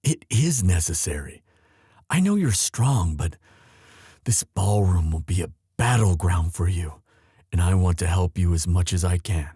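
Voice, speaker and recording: Man playing a low, husky, devoted young prince. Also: lively intonation, dark tone, no echo, studio quality, exaggerated voice. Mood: tender, protective. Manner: formal, courtly, halting with emotion. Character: devoted young crown prince, tender, earnest, flustered in love. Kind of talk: boyfriend ASMR romantic audio drama.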